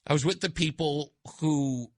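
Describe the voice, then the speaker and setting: A male radio host chatting casually in a studio, excitable, laughing often and breaking into loud bursts. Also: fairly high pitch, moderate pace, dry recording. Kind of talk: casual on-air chat. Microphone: studio microphone.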